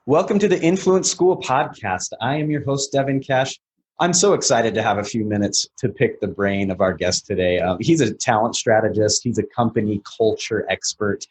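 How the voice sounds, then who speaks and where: A man's voice: on the low side, normal speed, poor audio. A man hosting a podcast interview, upbeat and chatty, with fillers and restarts. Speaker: podcast host.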